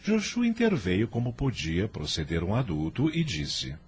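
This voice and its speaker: A man's voice: mid-range voice, wildly swooping pitch, a little slow, breathy edge. A man narrating a radio drama from a script in a formal, literary storytelling manner.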